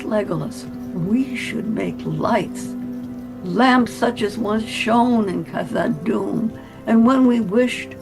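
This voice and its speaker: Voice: medium pitch, creaky, poor audio. A reader giving voice to a rapt, lyrical dwarf. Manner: slow, lyrical and heartfelt. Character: male dwarf, rapt, moved by beauty.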